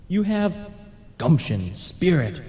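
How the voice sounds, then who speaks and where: Sardonic radio-play ghost, male actor, smooth flatterer, mellow tone with swooping pitch, reverberant tape. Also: slow. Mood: flattering. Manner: flattering, smooth-talking, insinuating. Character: sly, sardonic male ghost, genially plotting. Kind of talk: radio comedy drama acting.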